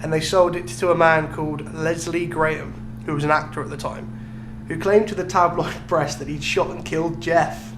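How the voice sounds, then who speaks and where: Higher-voiced man over noisy hum. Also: fairly even intonation, moderate pace, hardly any pauses. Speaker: podcast co-host. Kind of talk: casual storytelling chat. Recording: clearly noisy recording with hum.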